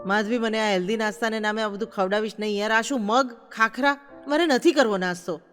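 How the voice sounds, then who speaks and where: Woman acting an exasperated young husband, high and emphatic. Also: a little fast, fairly bright tone, lightly acted. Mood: irritated. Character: irritable young husband, impatient and complaining. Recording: long reverb, faint music behind.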